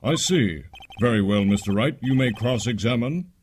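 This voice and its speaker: A man's voice: mid-range voice, animated, normal speed, lightly acted. A man voicing an authoritative but easily confused judge in a courtroom game fandub, with a solemn, commanding manner. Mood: puzzled, solemn.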